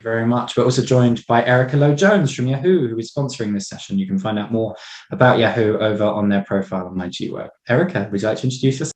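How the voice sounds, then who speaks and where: A man's voice: medium pitch, fast talker, a little mellow, reverberant. A man moderating an online panel, politely introducing each guest over a video call.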